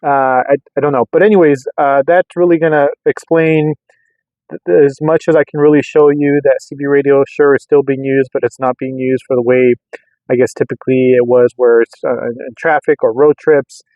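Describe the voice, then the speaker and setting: A man casually rambling through a hobby product test in a hesitant, halting way, often repeating words. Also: medium pitch, little pitch movement, darkish tone, no room sound. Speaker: radio hobbyist vlogger. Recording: narrow, phone-like, lo-fi sound.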